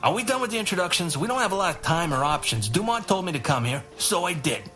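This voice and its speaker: An actor playing a gruff, sarcastic tough guy in a radio drama, with music behind him. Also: high voice, big pitch swings, fast talker, bright, forceful tone, exaggerated voice. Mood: sarcastic, impatient.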